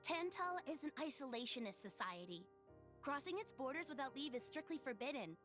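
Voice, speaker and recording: A woman's voice: high-pitched, expressive, brisk, fairly bright tone. A woman playing a cheeky, teasing companion to a prince in a video game cutscene. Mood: explaining. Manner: playful, teasing. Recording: music behind the voice.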